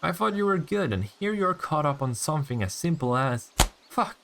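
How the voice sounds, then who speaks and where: A man's voice: fairly high pitch, sing-song, creaky, background noise. Man playing a smug, teasing vampire, swooping intonation. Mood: mocking. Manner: sardonic, teasing, swearing, drawn-out taunts. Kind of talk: intimate audio roleplay acting.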